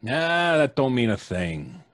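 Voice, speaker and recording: A man's voice: mid-range voice, very animated, takes it slowly, a bit bright, no room sound, clean studio sound. An actor playing a brusque, impatient tough city policeman in old-time radio suspense style, blunt, slangy and bossy. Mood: gruff, skeptical.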